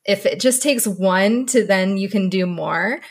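A woman's voice: medium pitch, measured pace. A woman from the United States hosting a podcast, asking curious questions in casual conversation and laughing often. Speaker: podcast host.

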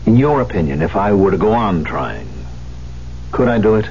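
An actor playing a proud, embittered concert pianist in a 1970s radio drama, with a theatrical, emphatic delivery. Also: male voice, fairly low pitch, very animated, normal speed, a little mellow. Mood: earnest, pleading. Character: proud, embittered concert pianist who lost his hand. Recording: muffled, hissy old radio broadcast.

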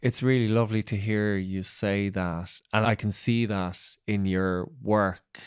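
A man hosting a conversational interview, phrasing his questions and thoughts in a tentative, halting way. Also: lowish voice, fairly even intonation, smooth, no echo, clean studio sound. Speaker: interviewer, podcast host.

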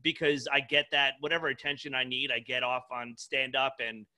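A man's voice: medium pitch, little pitch movement, fairly quick, sharp tone. A man in his thirties, a stand-up comedian chatting casually on a podcast with profane, informal speech full of "dude" and "like". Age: 30s.

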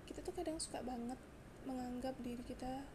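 A hoarse young woman hosting a casual solo podcast, chatty and confiding, with frequent fillers and restarts. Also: sits high, brisk, background noise. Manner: chatty, confiding, mixes in English words, many fillers and restarts. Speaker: podcast host.

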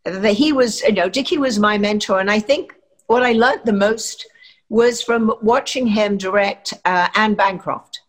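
A woman's voice: medium pitch, moderate pace. An older woman from England, an actress, giving chatty, rambling interview answers full of fillers and restarts.

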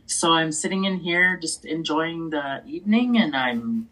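A husky, somewhat low-voiced older woman from Canada. She speaks warmly and earnestly as a podcast guest. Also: a little slow, poor audio. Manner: warm, earnest. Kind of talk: casual conversation. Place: her sewing room at home.

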